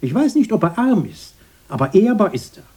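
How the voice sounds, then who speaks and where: An actor playing a bossy, bitter brother in a radio drama, husky, blustering and insistent in a mock-earnest way. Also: male voice, high voice, big pitch swings, a little fast, dark tone, full character voice. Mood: indignant. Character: bossy, bitter brother recalling a poor childhood.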